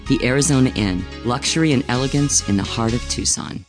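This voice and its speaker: A man's voice: medium pitch, fairly quick. Male voice-over, upscale ad read, plucked guitar underneath. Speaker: announcer. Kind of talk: scripted radio ad.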